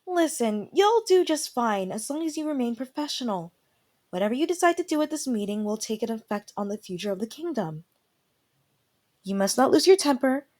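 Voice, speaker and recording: A woman playing a calm, supportive royal attendant in a fantasy audio roleplay, giving gentle and earnest formal counsel. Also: fairly high pitch, brisk. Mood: concerned, encouraging.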